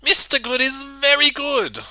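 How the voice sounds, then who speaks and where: A man reading a children's story aloud in an expressive, theatrical way, with emphatic delivery and dramatic pauses. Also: very high-pitched, no room sound. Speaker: storybook reader.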